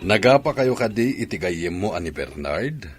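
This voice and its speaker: A man's voice: low voice, sing-song, moderate pace, over loud music. A man with an Ilocano Filipino accent playing a kindly, concerned teacher in an audio drama. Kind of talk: amateur audio drama acting.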